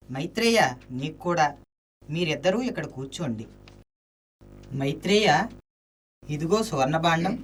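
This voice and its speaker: A man's voice: fairly high pitch, recorded from a distance, slight background noise, natural voice. A man playing a plain, dutiful household servant in a classical radio drama.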